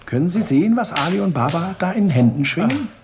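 Ein Schauspieler spielt in einem deutschen Hörspiel einen glatten, sadistischen Schurken, der spöttisch und genüsslich spricht. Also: male voice, high-pitched, big pitch swings, brisk, background noise. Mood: mocking. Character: suave sadistic villain, middle-aged man, mocking. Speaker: villain Sir Bogomil. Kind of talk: German radio drama acting.

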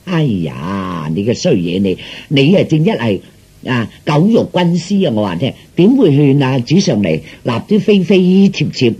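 A man's voice: mid-range voice, sing-song, measured pace, dark tone, breathy edge, muffled recording, exaggerated voice. A man playing a blunt, sceptical empress in a comic audio story, complaining in an earthy, sarcastic manner. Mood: sarcastic scolding. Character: blunt, nagging, sceptical empress, wife of emperor.

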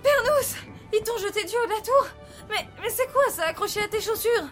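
High-voiced brave young heroine. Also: female voice, a little fast, fairly bright tone, faint music behind. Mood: tender, relieved. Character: brave, affectionate young heroine and sister, dramatic narrator. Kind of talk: audio drama acting and narration.

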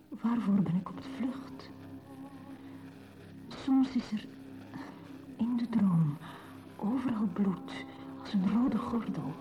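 A woman's voice: medium pitch, lightly acted. An actress playing a grave, dreamy woman in a poetic radio play, speaking solemnly and lyrically in a dull lo-fi recording. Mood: troubled, somber.

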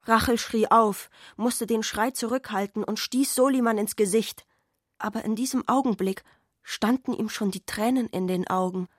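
A woman's voice: fairly high pitch, normal speed, no room sound, studio quality. A woman reads literary narration for a radio play. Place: dry radio studio.

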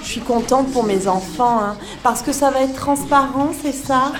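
A woman's voice: high-pitched, normal speed, natural voice. A woman playing a contented village mother in a radio fiction, trusting, simple and repetitive in her agreement. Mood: pleased, trusting. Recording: echoey room with crowd murmur.

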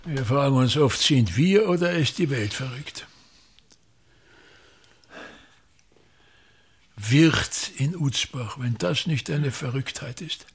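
A snooty old theater boss, austrian accent, gravelly husky voice pitched up high, sneers and rants about everything. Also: male voice, pushed and bright, exaggerated voice. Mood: declamatory. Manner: declamatory, scornful, theatrical tirades. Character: pompous old male traveling theater director, contemptuous. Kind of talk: radio drama acting.

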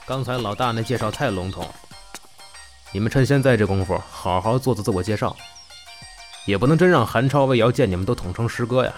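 A man's voice: on the low side, fairly quick, soft background music, natural voice. A man playing a blunt, bossy senior of a comedy troupe in an audio drama, with curt commands. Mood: commanding.

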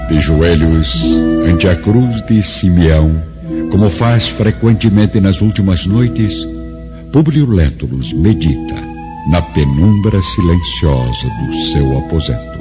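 A man with a Brazilian accent giving solemn, expressive scripted narration for a radio drama. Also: low voice, takes it slowly. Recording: dull lo-fi with faint music.

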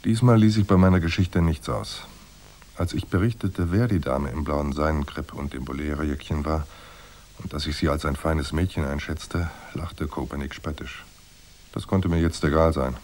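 Very deep, mellow hard-boiled detective. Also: male voice. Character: cool, unflappable hard-boiled male private detective. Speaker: first-person narrator and detective. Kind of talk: noir radio drama narration and dialogue.

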